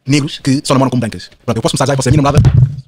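A man in his twenties speaks with a European Portuguese accent at a rapid clip. His casual podcast talk is animated and full of slang, fillers and tag questions. The sound is dry and close, without room echo. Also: medium pitch, breathy edge. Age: 20s. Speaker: podcast guest.

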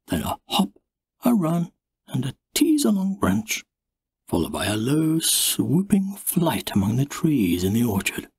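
A man reads a story aloud with plenty of drama. His voice is very husky and breathy, and his pitch rises and dips in a lively way. He takes his time over each phrase, recorded close and dry. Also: no room sound. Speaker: audiobook narrator. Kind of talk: expressive storybook narration.

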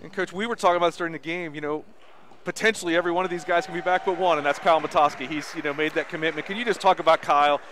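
A man's voice: a little high, fairly even intonation, rapid-fire, a bit bright, slight background noise. A man interviewing a coach after a game, asking long, rambling questions. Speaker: broadcast interviewer.

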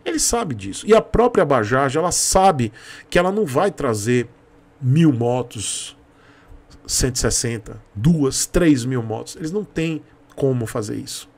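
A man's voice: medium pitch, very animated, a little slow, darkish tone. A man in his thirties with a Bahian Brazilian accent, giving informal, emphatic opinion commentary to camera as a video host, close to a desk mic in a home room. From Salvador, Bahia, Brazil. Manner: emphatic, repeats points for stress. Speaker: YouTube host, motorcycle commentator. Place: small home room with shelves, indoors. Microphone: desk microphone close in front.

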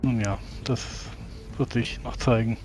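Measured man role-playing, formal and hesitant. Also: medium pitch, steady tone, darkish tone, faint music behind, low-quality recording. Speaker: tabletop role-playing player. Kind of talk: in-character tabletop role-play.

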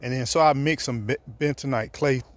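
A man's voice: medium pitch, brisk. A man, casually vlogging about his homestead outdoors, filmed on a handheld camera at arm's length. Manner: relaxed, conversational, drops to near whisper at times. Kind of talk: casual walking vlog. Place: outdoors on a wooded rural homestead.

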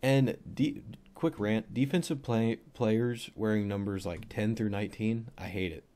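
A young man with a cold and a voice deeper than usual, casually rambling through a football film breakdown with plenty of fillers. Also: measured pace, dark tone. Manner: rambling, offhand, thinks aloud with many fillers.

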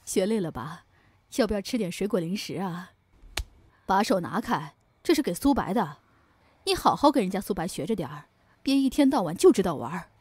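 A woman's voice: medium pitch, very animated, brisk, a little mellow, breathy edge. A woman acting a middle-aged mother in an audio drama, fussy and motherly, by turns doting and scolding. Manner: fussing, motherly.

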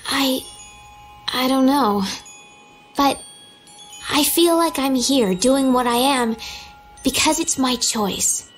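High voice, young princess, gentle, earnest, polite, fantasy game dialogue, light background music. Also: female voice, takes it slowly, long pauses. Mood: reflective, earnest. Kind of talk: animated game voice acting.

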